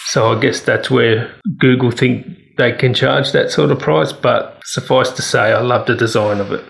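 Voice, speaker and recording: Gravelly man giving a casual phone review. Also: medium pitch, steady tone, normal speed, a bit bright, poor audio. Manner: opinionated, candid. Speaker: tech reviewer.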